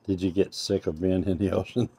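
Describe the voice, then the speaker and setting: Deep, mellow older man, rambling host. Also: flat delivery, fairly quick, gravelly, husky. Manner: rambling, repeats and restarts himself. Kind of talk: casual interview. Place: covered outdoor patio by a fireplace.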